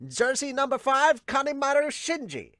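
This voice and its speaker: A man's voice: very high voice, very animated, normal speed, pushed and bright, dry recording, clean studio sound, voice pushed up, full character voice. A man voicing an over-the-top, old-time radio-style announcer with theatrical, emphatic delivery. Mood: proud roll call. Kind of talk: mock advertising announcer.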